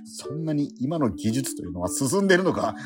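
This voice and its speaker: An actor playing a struggling painter in a radio drama, muttering to himself and stammering when startled. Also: male voice, medium pitch, big pitch swings, a little fast, music in the background, lightly acted. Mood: astonished. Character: struggling unsold painter, man, puzzled and easily startled. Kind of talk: first-person radio drama, narrating and acting.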